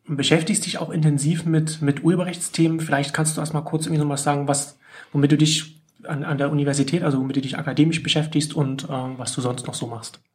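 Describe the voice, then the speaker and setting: A man hosting a podcast interview in casual conversation, feeling his way haltingly through questions and repeating words. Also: fairly high pitch, steady tone.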